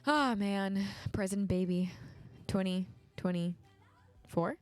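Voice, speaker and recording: A woman's voice: medium pitch, takes it slowly, a little mellow, no room sound, soft background music. A woman co-hosting a casual livestream chat, jokey and hyped, laughing often. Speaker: co-host and singer.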